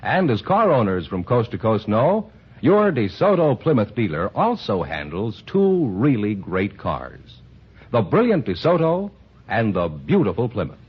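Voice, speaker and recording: A man's voice: on the low side, huge pitch swings, unhurried, husky. An American man announcing and reading ads in a 1940s radio style, with polished, emphatic salesmanship. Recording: dull old 1940s radio recording.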